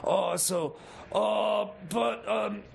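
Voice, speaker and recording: A man playing a flustered, stammering jailed mechanic who rambles haltingly in an improvised radio comedy. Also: high-pitched, fairly even intonation, vocal fry, exaggerated voice. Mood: rambling. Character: Jeb, rambling, flustered jailed male time machine mechanic.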